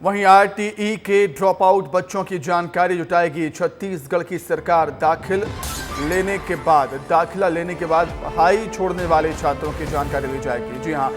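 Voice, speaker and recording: A man's voice: on the high side, fairly even intonation, normal speed. A man reading television news as an anchor, in an emphatic style that repeats headlines, with music behind. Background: news music bed.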